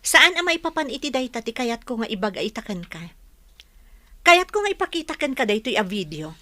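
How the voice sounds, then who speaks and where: Bright, high-voiced forthright young woman. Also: big pitch swings, fairly quick, no room sound. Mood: serious. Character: forthright young woman confronting her suitor. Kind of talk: radio drama acting.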